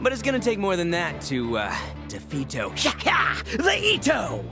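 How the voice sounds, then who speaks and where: A man's voice: high-pitched, wildly swooping pitch, moderate pace, over music, full character voice. A man playing a brash, showboating young brawler in a video game, bombastic and competitive. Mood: hyped, showboating. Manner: bombastic, swaggering.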